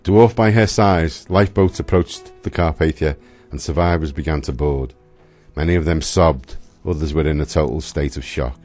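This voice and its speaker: Very deep-voiced male drama narrator. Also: a little mellow, faint music behind. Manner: solemn, measured. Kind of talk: scripted radio drama narration.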